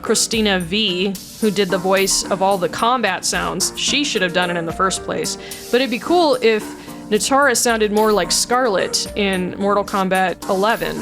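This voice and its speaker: A woman casually talking through her voice mod work, chatty and blunt, laughing often. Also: mid-range voice. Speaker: voice mod creator. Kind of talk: casual commentary.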